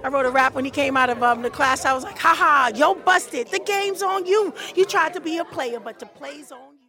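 A woman rapper interviewed backstage, excitedly recalling her early raps with laughter. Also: high-pitched, normal speed. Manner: excited, laughing, recites old rap lines. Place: noisy backstage room.

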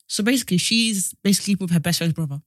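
A woman in her twenties co-hosts a casual podcast chat. She tells stories in an animated, exasperated way, with a slightly low voice. The recording is dry and close. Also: racing, no echo. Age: 20s. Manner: animated storyteller, exasperated asides. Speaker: podcast co-host.

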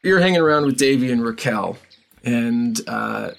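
A man narrating a tabletop game as game master, in an unhurried, halting way. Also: mid-range voice, expressive, creaky, a bit bright.

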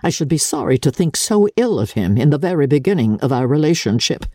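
Lady doing an older gentleman dad from a classic novel, weary and wry, lowish husky voice. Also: big pitch swings, fairly quick, no echo, clean studio sound, heavily acted. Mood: wry, blunt. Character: dry, rueful older gentleman, a weary father. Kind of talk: dramatic audiobook reading of a classic novel.

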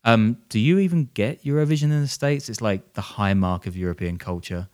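A man's voice: fairly low pitch, lively intonation, fairly quick, a little mellow. A man hosting a podcast, telling a crime story in a jokey, self-deprecating way and laughing as he goes. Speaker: podcast host. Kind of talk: casual storytelling chat.

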